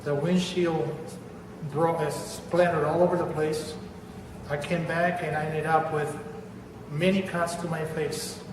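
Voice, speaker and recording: An older man with an Argentine accent gives his testimony, earnest and deliberate, leaning on repeated words. His voice sits a little high for a man and sounds distant from the mic. It rings through a long, echoey hall over steady background noise. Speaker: church member giving testimony. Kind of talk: personal religious testimony. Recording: echoey hall, voice from far off, noisy.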